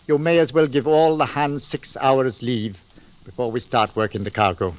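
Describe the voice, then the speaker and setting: An actor playing a stolid, literal-minded sea captain in a 1940s radio drama, plain-spoken, hesitant and unflappable. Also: male voice, medium pitch, fairly even intonation, normal speed, close to an everyday voice. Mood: calm, matter-of-fact. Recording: noisy 1940s radio recording.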